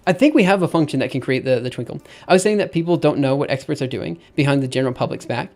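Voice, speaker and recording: A man in his thirties talking casually on a live stream, thinking out loud with lots of fillers and restarts. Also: medium pitch, fast talker. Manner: rambling, thinks aloud with many fillers and restarts.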